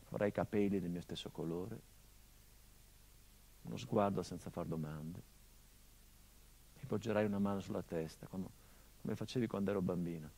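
A man's voice: on the low side, gravelly, dark tone, breathy edge, heavily acted, poor audio. An actor playing a middle-aged son haunted by his father's murder, in an intimate, brooding theatrical monologue for radio. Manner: intimate and brooding, swelling into anguished outbursts. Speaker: stage actor.

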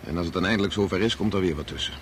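Guy acting a prickly disappointed son in a dutch radio play, lowish voice, exasperated, bit of noise. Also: rapid-fire, natural voice. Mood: bitter. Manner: argumentative, exasperated. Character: bitterly disappointed grown son, prickly and reproachful.

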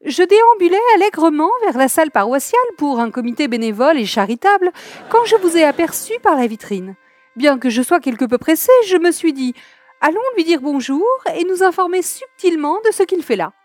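A woman's voice: high-pitched, expressive, brisk, a little mellow. A woman playing a prim, pushy older charity lady with affected, flowery manners in a comic old-time radio play. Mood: prim, curious. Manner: affected, flowery, genteel speech.

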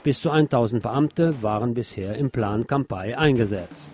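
A man's voice: medium pitch, normal speed, over loud music. A man presenting scripted radio news, with brief asides dropped in between the items.

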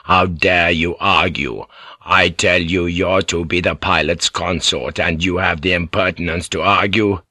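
A man's voice: sits low, a little slow, pushed and bright, dry recording, studio quality, exaggerated voice. A man voicing an imperious, scornful feudal lord in an audiobook, stern and commanding. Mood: angry, scornful.

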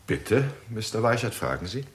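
An earnest scientist in a sixties German radio drama. The voice is rather low with very lively intonation, formal and precise in its wording. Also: male voice, moderate pace. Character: earnest male research astronomer presenting his findings. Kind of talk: 1960s German radio play acting.

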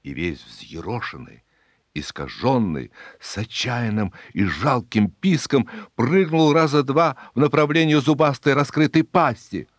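A man reading a literary short story as a dramatic narration, expressive and with deliberate pauses. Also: medium pitch, no echo. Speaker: audiobook reader. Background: background music.

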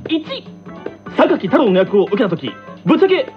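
A man hosting a radio talk show, reading out quiz questions in a lively, laughing manner. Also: very high-pitched, normal speed. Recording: dull lo-fi radio recording with music behind.

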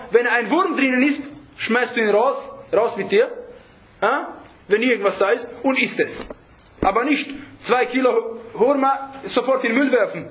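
A man's voice: very high-pitched, fairly bright tone, rough recording. A man preaching in an informal religious lesson, conversational and full of rhetorical check-backs to his listeners, with Arabic phrases mixed in. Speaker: Islamic preacher teaching a group.

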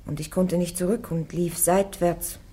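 Young defiant warrior woman, devout, stubborn, plain-spoken, slightly low voice, radio play. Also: steady tone, measured pace, mellow, breathy edge. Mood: plain, firm. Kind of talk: German radio play acting.

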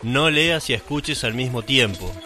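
A man's voice: mid-range voice, animated, measured pace, bright, forceful tone. Solemn man narrating over music. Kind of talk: scripted dramatic radio narration over music.

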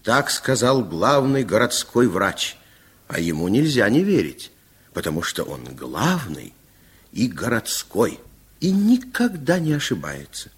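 Husky, expressive male fairy-tale storyteller. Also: lowish voice, a little slow, exaggerated voice. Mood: storytelling. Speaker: narrator. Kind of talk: dramatic storybook narration.

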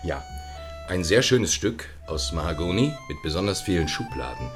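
An actor playing an obliging junk dealer with a deferential, salesy manner. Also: male voice, deep voice, sing-song, normal speed, over music. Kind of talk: radio play acting.

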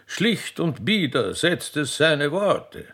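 A man voicing a stately, benevolent old emperor with an Austrian accent, speaking slowly and pompously in a radio play. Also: fairly high pitch, sing-song, creaky, a bit bright, breathy edge, voice pushed up, exaggerated voice. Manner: slow, solemn, pompous.

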